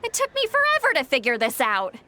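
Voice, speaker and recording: A woman's voice: high-pitched, wildly swooping pitch, fairly quick, pushed and bright, exaggerated voice. A woman voicing a knowledgeable character who explains ancient lore in a video game, in an explanatory, matter-of-fact way. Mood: explaining.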